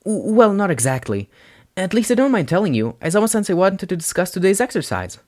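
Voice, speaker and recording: Man voicing an anxious high-pitched teenage boy. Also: big pitch swings, a little fast, darkish tone. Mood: nervous, clarifying. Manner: hesitant, stammering. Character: anxious, earnest, soft-hearted teenage boy hero student.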